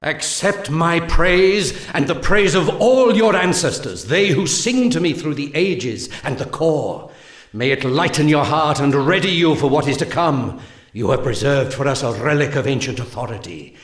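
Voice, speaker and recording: An actor playing a solemn, commanding alien seer who briefs his warriors in grave, measured, mystical pronouncements for a 1990s game cutscene. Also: on the high side, lively intonation, close to an everyday voice. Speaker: mission briefer. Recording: roomy reverb on the voice.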